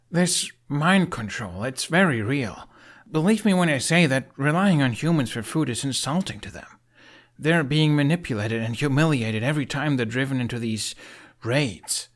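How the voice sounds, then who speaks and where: A man voicing a grown young dragon rider in an audiobook, wry, earnest and a little awkward, speaking in a halting, emphatic way. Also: medium pitch, voice pushed up, natural voice.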